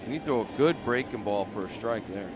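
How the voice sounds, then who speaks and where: A man's voice: fairly low pitch, expressive, brisk. A man giving conversational analysis as a color commentator on a live baseball broadcast. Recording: noisy live ballpark radio broadcast. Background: stadium ambience and noise.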